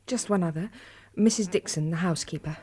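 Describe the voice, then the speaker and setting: A woman's voice: on the low side, fairly quick, warm tone, lightly acted. A woman acting in a radio drama as a spirited, proper young Victorian lady with a British accent, prim and earnest in her speech. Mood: polite, composed. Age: young adult.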